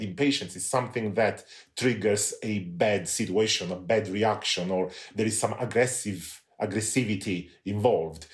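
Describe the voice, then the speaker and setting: Man, from Italy, middle-aged, low voice, hesitant, restarts, candid podcast guest. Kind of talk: casual chat.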